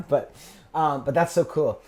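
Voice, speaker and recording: A man in his forties from Maine hosting a podcast, chatting casually in a rambling way that trails off softly, with frequent laughs. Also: on the high side, sing-song, slow pace. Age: 40s. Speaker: podcast host. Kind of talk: casual interview chat.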